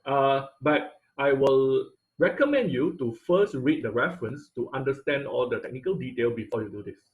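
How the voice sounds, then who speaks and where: A man's voice: mid-range voice, animated, normal speed, narrow, tinny audio. A man teaching an online robotics class, explaining code step by step with frequent fillers and "okay?" checks. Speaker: robotics instructor. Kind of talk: online class lecture with screen share.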